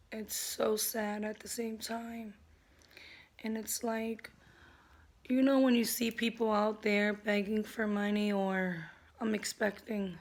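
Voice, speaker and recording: A woman with a hoarse, fairly high voice speaks slowly and earnestly to her camera at home. Her delivery stays flat and even, as she keeps her naturally loud voice held back in a candid personal vlog. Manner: earnest, slow and open, toning down her natural loudness. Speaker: vlogger. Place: at home, indoors.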